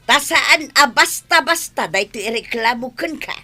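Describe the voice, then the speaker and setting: Woman playing an aggrieved village wife, high bright voice. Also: animated, moderate pace, faint music behind. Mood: plaintive. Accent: Filipino. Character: aggrieved village wife, plaintive, insistent complainer. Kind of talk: comedic radio drama acting.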